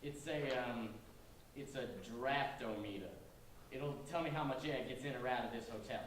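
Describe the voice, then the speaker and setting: A man's voice: medium pitch, moderate pace, natural voice. A man playing an evasive ghost hunter posing as an inspector in an amateur staged reading, recorded in an echoey room. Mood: guarded, official. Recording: very echoey room, distant mic, faint noise.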